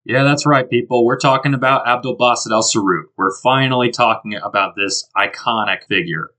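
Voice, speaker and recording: A man's voice: mid-range voice, moderate pace, bright, forceful tone, slight background noise. A man hosting a podcast in a casual, enthusiastic and informal way. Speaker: podcast host.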